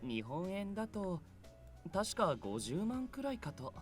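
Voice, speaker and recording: A man's voice: high voice, very animated, moderate pace, breathy edge, full character voice. An actor voicing a polite, blunt, matter-of-fact male being from heaven in a visual novel game. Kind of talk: Japanese visual novel voice acting. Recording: game music behind.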